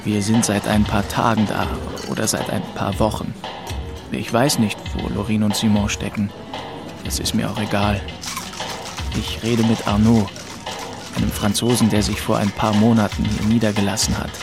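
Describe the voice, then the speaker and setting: Deep, gravelly, withdrawn young man. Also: flat delivery, moderate pace. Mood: detached, melancholy. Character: grieving orphaned young man of twenty-two, aimless and withdrawn. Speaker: first-person narrator. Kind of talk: scripted narration in a radio drama. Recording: music behind the voice.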